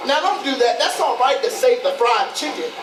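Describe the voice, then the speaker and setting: A man acting a flirtatious, cajoling man in a stage play, with an animated, sweet-talking manner. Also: very high-pitched, normal speed. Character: flirtatious, cajoling Southern man on a train outing. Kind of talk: readers theatre stage acting. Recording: echoey, distant, noisy ambience.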